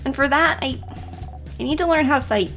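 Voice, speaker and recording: A woman voicing a stubborn, excitable young child in a video game, blurting and insistent. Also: high-pitched, fairly quick, creaky, faint music behind, voice pushed up. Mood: determined.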